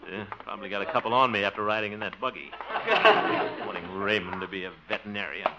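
A man's voice: fairly low pitch, animated, fast talker, very noisy. An actor playing a blustery, exasperated, good-hearted middle-aged man, grumbling and exclaiming in 1950s radio comedy style. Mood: weary grumbling. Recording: dull old radio recording.

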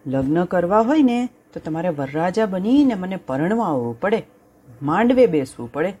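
A woman's voice: on the low side, sing-song, normal speed, warm tone, breathy edge, lo-fi sound, pitched above the performer's own voice. A woman voicing a sweet, clever farm girl. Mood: coaxing. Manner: sweet, teasing, coaxing.

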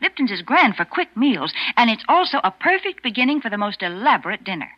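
Woman announcer, cheerful sales patter, lively pitch, fairly high voice, muffled old radio sound. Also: a little fast. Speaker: commercial announcer. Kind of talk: scripted ad read, 1940s radio. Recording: muffled 1940s radio broadcast.

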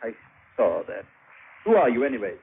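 An actor playing a prim, self-righteous missionary in a 1950s radio drama, speaking in a formal, lecturing manner. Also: male voice, mid-range voice, sing-song, a little fast, narrow, tinny audio. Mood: haughty, formal. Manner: formal, proper, indignant, lecturing. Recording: thin, faintly noisy vintage radio broadcast.

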